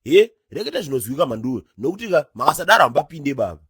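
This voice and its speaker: A man's voice: a little high, very animated, rapid-fire, fairly bright tone, slightly rough, exaggerated voice. A man voicing a bossy, grumbling uncle on gate duty in a comedy cartoon, argumentative and exclaiming. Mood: bossy, suspicious.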